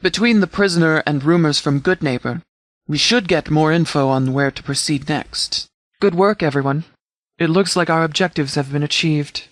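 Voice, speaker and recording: Man acting a stern, commanding sheriff, higher-pitched. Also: dry recording, close to an everyday voice. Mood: businesslike. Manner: formal, measured, authoritative. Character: stern, commanding sheriff leading a wasteland militia. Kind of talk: scripted video game dialogue.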